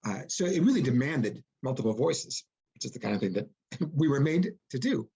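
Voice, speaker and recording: A man's voice: mid-range voice, big pitch swings, brisk, dark tone, husky, highs cut off. A man speaking as an interviewed author and producer, explaining things reflectively with folksy turns of phrase. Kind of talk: interview conversation.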